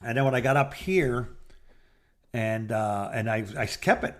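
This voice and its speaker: A middle-aged man chatting casually and telling personal anecdotes in a rambling, halting way, as a podcast co-host. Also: medium pitch, a bit bright.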